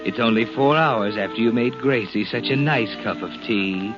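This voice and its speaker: An actor playing a sinister, mocking, all-knowing narrator, insinuating and speaking straight to the culprit in 1940s radio suspense style. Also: male voice, medium pitch, normal speed, natural voice. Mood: sardonic. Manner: insinuating, addresses the culprit directly. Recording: muffled old radio recording. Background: prominent dramatic music.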